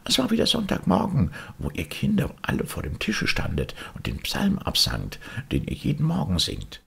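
A man's voice: on the low side, wildly swooping pitch, moderate pace, vocal fry, a bit bright, a little hiss or hum, voice pushed up, heavily acted. A man voicing a small, lonely, restless tin soldier from a storybook, in a plaintive, lamenting and theatrical way. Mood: wistful, chattering. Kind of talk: storybook character voice.

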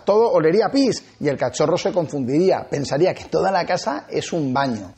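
A man in his forties from Spain hosting a dog-training video, talking to camera with animated gestures and emphatic swings in pitch. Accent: Spanish from Spain. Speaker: dog trainer and channel host. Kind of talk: energetic tips video to camera. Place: small indoor studio set with shelves. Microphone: lapel.

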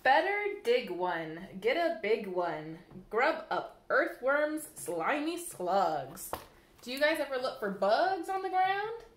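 A woman in her thirties reads a picture book to small children in a slightly high, very animated voice. Her delivery is sing-song and addressed straight to the kids. It is recorded in a small, echoey room on a camera mic a little way off. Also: takes it slowly, a little hiss or hum. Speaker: library staff storyteller. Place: small room indoors by a window, seated. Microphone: camera mic a short distance away. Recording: roomy echo from camera mic.

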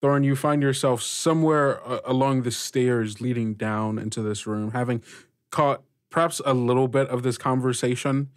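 Mid-pitched male game master, halting delivery. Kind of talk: running a tabletop roleplaying game.

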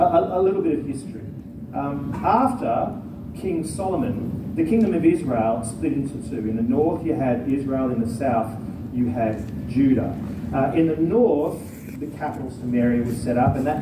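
A man preaching an earnest, explanatory sermon, often repeating words as he builds a point. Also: on the high side. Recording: echoey hall from far off with noise.

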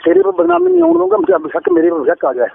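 A man's voice: extremely high pitch, wildly swooping pitch, very fast, vocal fry. A middle-aged man with an Indian Punjabi accent talking privately on a noisy recorded phone call, rambling and repeating himself insistently. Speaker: caller, father-in-law. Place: phone line.

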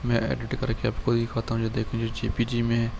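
A man, hosting a screen-recorded software tutorial and calmly walking through a design file with lots of filler words. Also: mid-range voice, creaky, background noise. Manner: calm, unhurried explaining with many fillers. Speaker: channel host showing a design file.

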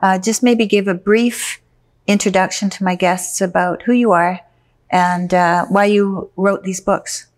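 A middle-aged woman hosting a podcast interview, speaking casually with many fillers and quick affirmations. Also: mid-range voice, fairly even intonation. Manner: warm listener with frequent affirmations, hesitant fillers. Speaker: podcast host. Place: bright room indoors.

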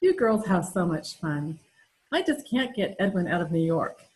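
Woman acting gentle, fretful wife, lively intonation. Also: lowish voice, moderate pace, low-quality recording. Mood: amused. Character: gentle, fretful actress wife in a radio sitcom. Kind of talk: amateur old time radio comedy acting. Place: indoor room at home, video call. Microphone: computer mic on a video call.

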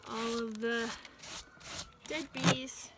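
A woman's voice: fairly high pitch, steady tone, heavy background noise. A young woman in her twenties, chatting casually as she explains hive work in a backyard, recorded outdoors on a nearby camera with music underneath. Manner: unhurried, chatty, gasps with dismay at finds. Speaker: beekeeping vlogger. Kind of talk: casual how-to narration while working. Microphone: camera on a stand nearby, later handheld.

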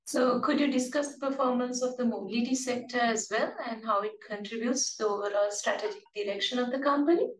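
A woman's voice: fairly high pitch, fast, hardly any pauses. A woman moderating a business call, putting formal, prepared questions. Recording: roomy, distant mic with background noise.

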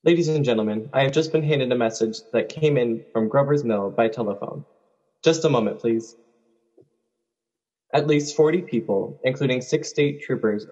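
A man's voice: mid-range voice, darkish tone, breathy edge, lightly acted. A man playing a formal radio announcer delivering a grim news bulletin in a radio play. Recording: dull, reverberant hall sound.

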